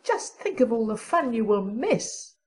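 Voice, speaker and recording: A woman's voice: high voice, lively intonation, a little slow, a little mellow, breathy edge, exaggerated voice. A woman voicing a young schoolgirl, a plaintive and coaxing best friend, in an audiobook. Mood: eager coaxing. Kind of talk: audiobook character dialogue.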